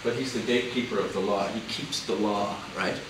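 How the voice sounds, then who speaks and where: A man casually explaining a puppet show in a halting, informal way. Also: fairly low pitch, fairly even intonation. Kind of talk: casual explanation to camera visitor. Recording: echoey room, distant mic, loud background noise.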